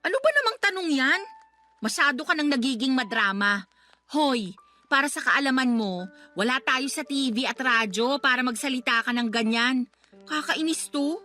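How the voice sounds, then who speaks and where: A woman's voice: high-pitched, expressive, normal speed, pushed and bright, faint music behind. A woman playing a defiant, irritable fiancée in a Tagalog radio romance drama, with snappy, whiny retorts. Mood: irritated. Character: defiant, irritable, self-centred fiancée quarrelling with boyfriend.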